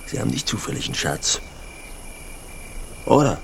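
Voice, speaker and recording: A man's voice: medium pitch, sing-song, normal speed, creaky, fairly bright tone, a little hiss or hum. An actor playing a pushy rural youth in a radio drama, talking colloquially and insistently as he courts and brags. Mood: puzzled, pressing. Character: pushy rural youth clumsily courting, boasting of his money. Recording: outdoor night ambience with crickets. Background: crickets, night insects.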